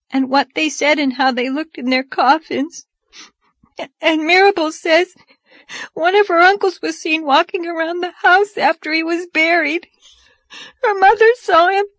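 A woman's voice: high-pitched, a bit bright, no room sound, voice pushed up. A woman voicing a timid, frightened little girl who is crying as she talks, in a storybook reading. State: crying, sobbing.

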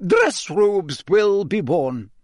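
A man's voice: high voice, sing-song, extremely slow, no room sound, studio quality, pitched above the performer's own voice, heavily acted. A man voicing a stern, strict older female teacher who speaks in a clipped, disapproving, firm way.

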